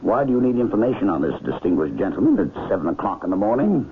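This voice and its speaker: A muffled old radio recording of an inquisitive island doctor. His deep voice moves in lively curves, measured and courteous, as he probes for answers. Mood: thoughtful, curious. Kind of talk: 1970s radio drama acting. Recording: muffled vintage radio recording, faint hiss.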